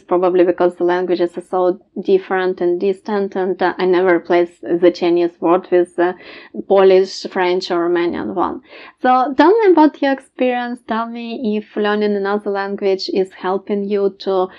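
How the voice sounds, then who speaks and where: A woman, a language tutor hosting her channel, gives casual tips to camera and pauses often with filler sounds between phrases. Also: mid-range voice, lo-fi sound.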